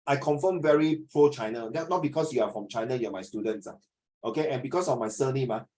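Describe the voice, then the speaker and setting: A man's voice: mid-range voice, fast talker, a little mellow. A middle-aged man with a Singaporean English accent teaching a class, joking, wandering off topic and mixing in Chinese. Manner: joking, digressive, switching to Chinese. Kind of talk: classroom lesson.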